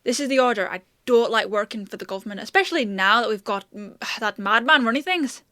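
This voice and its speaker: A woman playing a flustered, gruff but well-meaning fantasy apothecary in a scripted audio roleplay, with a hesitant, emotive, conversational delivery. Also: on the high side, animated, a little fast, sharp tone, dry recording, studio quality. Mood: tense.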